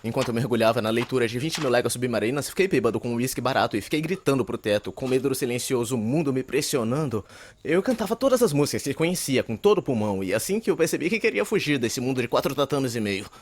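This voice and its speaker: A man's voice: medium pitch, lively intonation, fast talker, lightly acted. A young man with a Brazilian accent playing a neurotic, wordy university shut-in narrating his inner monologue in a dubbed anime. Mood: anxious, weary. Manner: wordy, pompous, theatrical.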